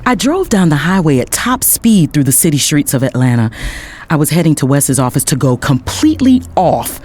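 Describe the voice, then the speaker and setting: A woman playing an indignant lawyer who narrates her own story in the first person, dramatic and expressive, with faint background noise. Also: deep voice, moderate pace. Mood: tense, determined.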